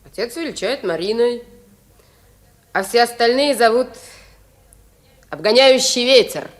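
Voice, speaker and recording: A woman's voice: a little high, expressive, unhurried. An actress playing a bold, teasing young sailor girl with brash, colloquial speech in a 1950s Soviet radio drama. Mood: proud, teasing. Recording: old 1950s radio recording.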